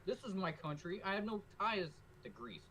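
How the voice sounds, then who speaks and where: A man's voice: sits high, very animated, moderate pace, a bit bright, slight background noise, rough recording. An American man co-hosting a casual political podcast, talking in an emphatic, lecturing way and repeating his points. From United States. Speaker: co-host.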